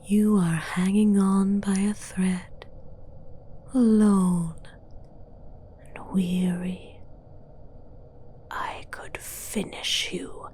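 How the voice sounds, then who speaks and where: A woman playing a seductive, domineering female demon villain in an ASMR roleplay, speaking in a breathy whisper with a deliberate, theatrical, condescending delivery. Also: fairly low pitch, darkish tone, slight background noise. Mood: weary, menacing.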